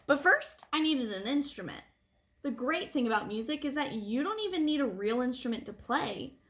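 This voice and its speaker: A woman reading a picture book aloud to children like a teacher, with animated phrasing and deliberate emphasis. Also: high voice. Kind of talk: expressive read-aloud storytelling for children.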